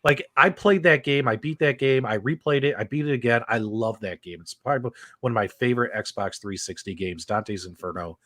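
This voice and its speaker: A man's voice: medium pitch, fairly quick, hardly any pauses, sharp tone, no room sound. Man hosting casually with an enthusiastic delivery. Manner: enthusiastic, repeats phrases for emphasis. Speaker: host of a video game talk show. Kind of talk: casual livestream chat.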